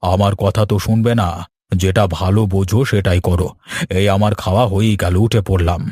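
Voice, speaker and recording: A man's voice: deep voice, fairly even intonation, moderate pace, darkish tone, no echo. Cartoon casting: male actor as older villager, grumbling haggler and homely husband, insistent, colloquial. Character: grumbling older village man, husband and bargain-hunting customer. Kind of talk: animated cartoon story dialogue.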